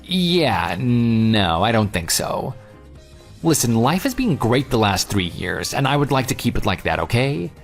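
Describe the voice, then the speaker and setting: A man's voice: mid-range voice, sing-song, unhurried, creaky. A man playing a self-assured, unyielding jilted groom who leads and narrates an audio drama in a dry, sarcastic manner. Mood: firm, mocking. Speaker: narrator and lead character. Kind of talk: audio drama dialogue and first-person narration. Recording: music bed under the voice. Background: background music.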